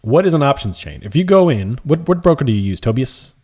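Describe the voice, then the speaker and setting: A man hosting a live stream, chatting casually and calmly while answering viewer comments in a soft, laid-back way. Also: mid-range voice, brisk. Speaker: livestream trading host.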